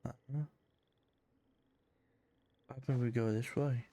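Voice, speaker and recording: A man's voice: mid-range voice, fairly even intonation, slow, warm tone. A man giving casual, slangy gameplay commentary in a muttering way as he plays, with game music behind him. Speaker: streamer playing a game.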